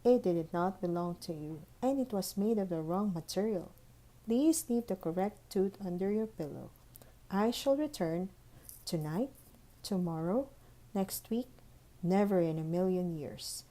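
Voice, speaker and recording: A woman voicing a busy, bossy, impatient tooth fairy in a children's storybook, formal and brisk. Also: mid-range voice, expressive, mellow, lightly acted. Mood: formal, firm. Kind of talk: children's storybook read-aloud.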